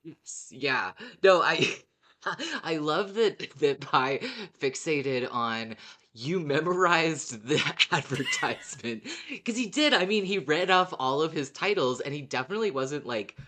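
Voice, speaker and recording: A podcast co-host chatting casually about a show, in a halting way with frequent false starts. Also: mid-range voice, big pitch swings, bright, forceful tone. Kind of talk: casual fan discussion podcast.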